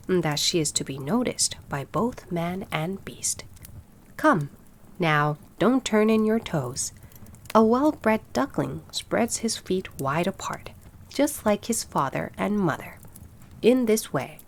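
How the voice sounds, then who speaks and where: A woman's voice: mid-range voice, very animated, slow pace, slight background noise. A woman voicing a fond, proud and bossy mother duck in a storybook, with a fussy, instructive manner. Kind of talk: storybook character voice.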